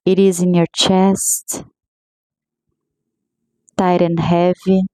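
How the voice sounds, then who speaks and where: A woman leads a scripted guided meditation at an extremely unhurried pace, letting long pauses sit between phrases. Her voice is mellow and somewhat low, soothing and gentle. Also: little pitch movement, dry recording. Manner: slow, soothing, gently paced.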